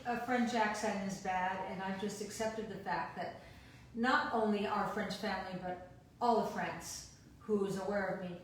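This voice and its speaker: A woman's voice: medium pitch, fairly even intonation, moderate pace, a little hiss or hum. A woman chatting casually with a friend, agreeable and often repeating herself. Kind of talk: casual chat. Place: home, recorded remotely. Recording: echoey room, far from the mic.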